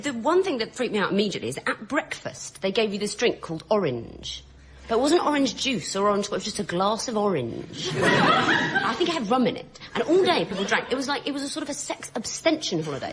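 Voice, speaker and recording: A woman guest on a comedy panel show, telling anecdotes haltingly and chuckling as she goes. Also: medium pitch. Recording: live audience laughter, clearly noisy background. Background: studio audience laughing.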